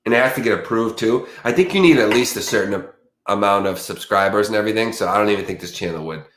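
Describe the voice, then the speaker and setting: A man chatting loosely with his viewers on a crime livestream, sounding a bit stuffed up from allergies. His voice sits on the low side and bounces around a roomy space, and he loses his thread and restarts often. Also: fast, a bit bright, slight background noise. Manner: rambling, many fillers and restarts. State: slightly congested from allergies. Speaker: true crime channel host. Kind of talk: casual livestream chat with viewers.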